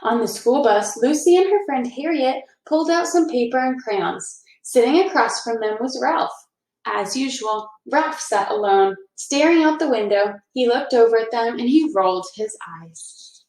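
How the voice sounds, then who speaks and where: Female teacher for a children's read-aloud, higher-pitched, explains simply, recorded in an echoing room. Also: lively intonation, normal speed, darkish tone. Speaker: teacher reading aloud to a class. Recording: roomy, echoing indoor sound.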